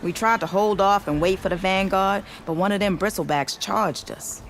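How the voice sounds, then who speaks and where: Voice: mid-range voice, normal speed, a bit bright, a little hiss or hum, natural voice. A performer playing a plainspoken, grateful frontier quarry worker in a video game, with a folksy way of talking. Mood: grateful, weary. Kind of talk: video game dialogue acting.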